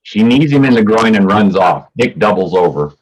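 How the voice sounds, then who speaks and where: Low-pitched man reading stage directions. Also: expressive, normal speed, breathy edge, poor audio. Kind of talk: scripted narration of stage directions.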